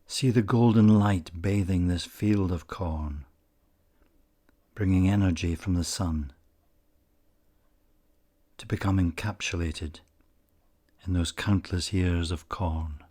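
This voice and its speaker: Very deep, husky man guiding meditation slowly. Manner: calm, measured, pausing often. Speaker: meditation guide. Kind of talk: slow guided meditation narration.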